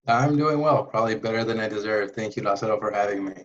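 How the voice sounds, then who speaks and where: Low-voiced young man, muffled sound. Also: quick pace. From Los Angeles, USA. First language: Spanish. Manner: relaxed, laughs lightly, restarts words. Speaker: interview guest, engineer. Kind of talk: casual conversation.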